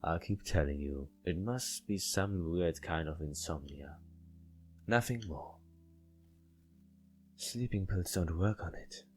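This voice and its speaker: A man's voice: low voice, slow, pauses often, faint music behind. A man voicing a guarded, stubborn male visual novel hero with a defensive, dismissive manner.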